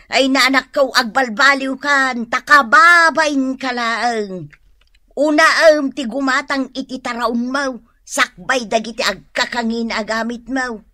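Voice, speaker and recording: Exasperated scolding woman for radio drama, played by an actress; high, dry voice, Ilocano Filipino accent, emphatic. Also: sharp tone, dry recording.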